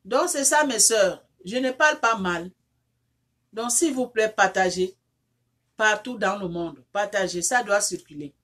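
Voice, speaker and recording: A woman's voice: mid-range voice, a little slow. A woman who is not a native French speaker, leading an informal prayer and appeal for her viewers, repetitive and fervent, with many restarts, who rises into insistent pleading as she prays. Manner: rises into loud insistent pleading when praying. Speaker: online counselor leading prayer.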